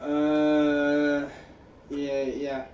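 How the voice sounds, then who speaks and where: A man's voice: on the high side, completely flat delivery, drawn-out words, a little mellow, soft background music. Echoey distant male game streamer. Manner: talks like an older mentor, repeats himself, calls the listener bro and buddy. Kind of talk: casual chat while streaming a game.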